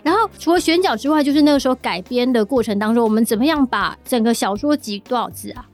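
Quick-talking high-voiced Taiwanese woman podcast host. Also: animated. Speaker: podcast host, audio drama producer. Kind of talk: casual chat interview.

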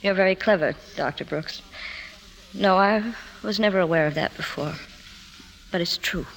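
An actress playing an anxious, glamorous woman confiding in her psychoanalyst, halting and tremulous in 1950s radio drama style. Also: lowish voice, vocal fry. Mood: subdued, defensive. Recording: noisy 1950s radio broadcast recording.

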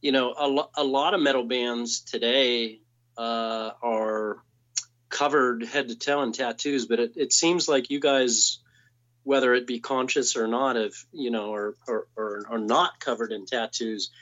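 A man's voice: medium pitch, fairly even intonation, unhurried, a bit bright. A man in his forties hosting an interview, asking questions in a hesitant way and often repeating words. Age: 40s. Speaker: podcast host. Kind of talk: casual interview. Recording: slightly dull lo-fi sound.

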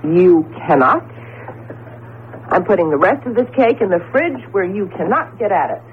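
A tinny old radio recording with faint noise carries a woman acting a professor's wife at an ordinary female pitch. She is affectionate, brisk and expressive, in the theatrical manner of 1970s radio drama. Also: close to an everyday voice. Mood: teasing. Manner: playful scolding, expressive, affectionate.

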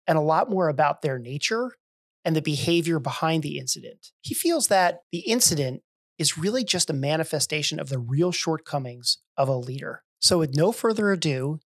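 A man's voice: a little high, a bit bright. A man hosting a leadership podcast, interviewing his guest in an earnest, enthusiastic way. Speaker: podcast host.

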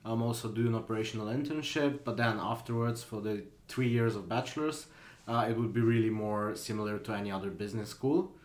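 Low-voiced young man from Slovenia. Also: fairly even intonation, a little fast, a little mellow, roomy sound. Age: 20s. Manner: thoughtful, hesitant with many fillers. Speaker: guest student. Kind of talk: casual podcast interview.

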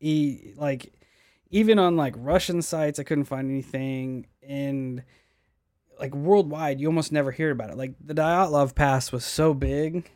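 A man's voice: on the high side, measured pace, darkish tone, no echo, clean studio sound. Laid-back man telling a mystery story. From United States. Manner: laid-back, swears often, stumbles over names. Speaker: podcast host. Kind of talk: casual podcast storytelling.

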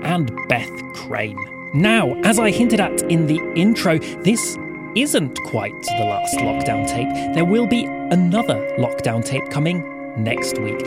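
A woman reading scripted podcast credits and a patron appeal in an earnest, appreciative way. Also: low voice.

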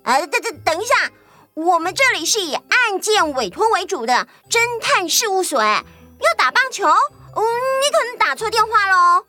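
A woman voicing a bubbly, excitable, scatterbrained young detective's assistant in a children's audio story, chatty and boastful. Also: extremely high pitch, moderate pace, faint music behind, pitched above the performer's own voice, full character voice. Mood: puzzled, polite.

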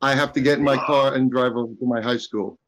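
A man in his sixties, a guest on a comedy podcast, answering flatly and matter-of-factly. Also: mid-range voice, fast talker, noisy background. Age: 60s. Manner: flat, matter-of-fact replies.

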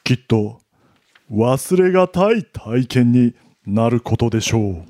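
A man playing a cold, formal master of a deadly survival game in a radio drama, speaking with measured, theatrical politeness. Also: mid-range voice, expressive, mellow, dry recording, clean studio sound. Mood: coolly menacing.

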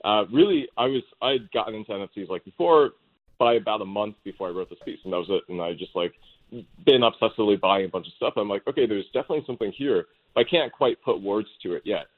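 In dull, band-limited audio, a man talks at a quick clip. His voice sits a little low, and he speaks informally and anecdotally, like a guest explaining himself in an interview. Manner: informal, self-quoting storyteller. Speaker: interview guest, startup co-founder. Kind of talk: casual, anecdotal interview talk.

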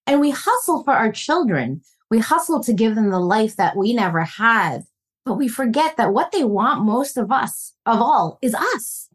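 A woman's voice: fairly high pitch, very animated, moderate pace, slightly rough. A woman relationship coach being interviewed, talking in an earnest, reflective way and telling family stories. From Filipino family, raised in North America. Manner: earnest, reflective, storytelling with warm laughs. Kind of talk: podcast interview conversation.

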